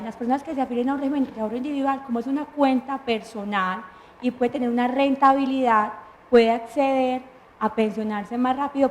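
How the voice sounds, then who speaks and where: A woman with a Colombian accent and a fairly high voice lays out pension rules step by step. She poses questions and then answers them herself, in the even tone of a guest lawyer on a talk show. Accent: Colombian Spanish. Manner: patient, methodical, lists points and answers her own questions. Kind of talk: explanatory studio talk.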